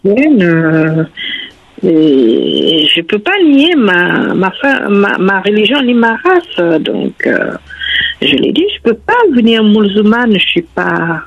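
A woman from Brazil calls in to a radio show, her Brazilian Portuguese accent clear in every phrase. Her voice is gravelly and husky, and it comes through a dull, muffled phone line. She talks hesitantly and earnestly, with many pauses and fillers. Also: mid-range voice, big pitch swings, no echo. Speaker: radio caller.

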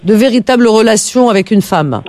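A woman's voice: on the high side, animated, a little fast, a little mellow, no echo. A woman hosting a call-in radio show, lecturing her caller in an emphatic, indignant way.

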